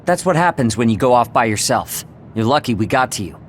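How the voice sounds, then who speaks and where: Actor as a brash skeptical squadmate, animated and blunt. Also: male voice, medium pitch, a little fast, slight background noise, natural voice.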